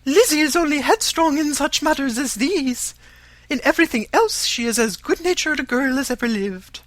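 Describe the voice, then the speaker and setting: A narrator voicing a fussy, nervous middle-aged mother in an audiobook, shrill, exclaiming and insistent. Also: animated, moderate pace, fairly bright tone, dry recording. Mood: alarmed, hurried. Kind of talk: audiobook character voice.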